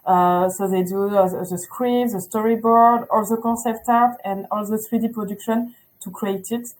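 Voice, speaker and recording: Lady doing a school webinar, mellow voice, lots of uhs. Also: medium pitch, steady tone, normal speed, rough recording. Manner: hesitant, with frequent fillers. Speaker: school representative presenting a webinar. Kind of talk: webinar presentation.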